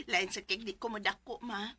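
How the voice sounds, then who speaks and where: A woman's voice: on the high side, bright, forceful tone, husky, heavily acted. An actress with a Filipino Bisaya accent playing a dutiful, suffering daughter in her twenties, emotive and affectionate, in a radio drama. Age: 20s.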